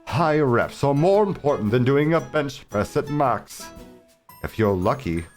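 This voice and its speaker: A man voicing a tough, muscular female bodyguard in a rough but still feminine, confident way. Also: mid-range voice, sing-song, a little slow, faint music behind, pitched above the performer's own voice.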